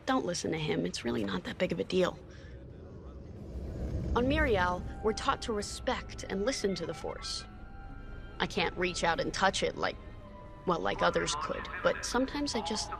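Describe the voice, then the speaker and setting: A voice actor playing a calm, intuitive starfighter pilot from a Force-sensitive world, earnest and reserved in manner. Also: mid-range voice, normal speed, creaky, long pauses, music in the background. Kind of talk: video game voice acting. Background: loud orchestral score.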